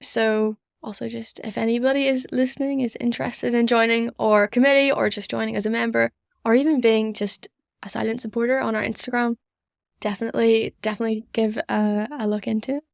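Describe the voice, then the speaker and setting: Young adult woman, fry in the voice, earnest interview answers, dry recording. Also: fairly high pitch, steady tone, brisk, no room sound. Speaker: podcast guest, student society organiser.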